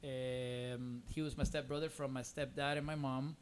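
A man in his forties from Costa Rica with a Cuban accent in English, a native Spanish speaker, chatting casually and haltingly as a podcast guest. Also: medium pitch, level tone, background noise. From Costa Rica, born in Cuba. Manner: hesitant, rambling, many "you know"s. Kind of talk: casual conversational interview.

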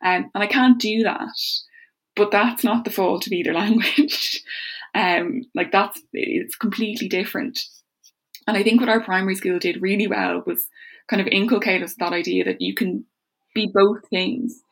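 An Irish woman, a legal academic, talking as a guest in a podcast interview, animated and rambling with lots of "like" and "kind of". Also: medium pitch, normal speed, vocal fry. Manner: animated, talks in long run-on stretches with frequent "like" and "kind of".

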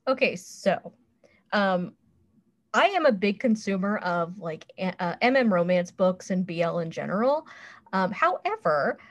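Frank woman chatting casually, medium pitch. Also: big pitch swings, measured pace. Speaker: podcast panelist reviewing a website. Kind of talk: casual review chat.

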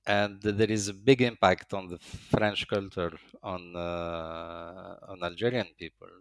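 Halting older man, low dry voice. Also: fairly even intonation, clean tone, no room sound. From Morocco. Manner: slow, halting, many uh fillers and restarts. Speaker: interviewee, engineering professor. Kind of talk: hesitant interview answers.

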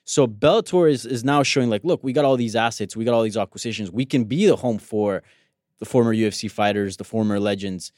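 A man's voice: lowish voice, a little fast, a little mellow, no room sound, studio quality. A man who grew up in Colombia and speaks Spanish natively, co-hosting a casual MMA talk show in an easygoing way full of fillers. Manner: easygoing, many fillers like you know. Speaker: co-host.

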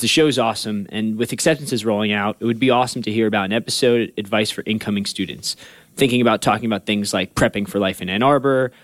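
A man's voice: fairly low pitch, fairly bright tone, no echo. A man co-hosting a podcast, reading listener mail and asking questions with a chatty, filler-heavy manner. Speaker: podcast co-host.

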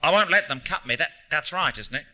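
A man's voice: fairly high pitch, animated, fairly quick, pushed and bright, pitched above the performer's own voice. A man reading a story, voicing an abrasive, sardonic, ailing old man with theatrical delivery. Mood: miserable. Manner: theatrical, mocking rants.

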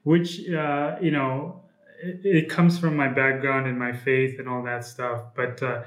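A man's voice: medium pitch, level tone, unhurried. Hesitant young man from Ethiopia, roomy sound. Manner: hesitant, many fillers and restarts. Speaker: guest, comic book publisher. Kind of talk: casual interview. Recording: roomy echo.

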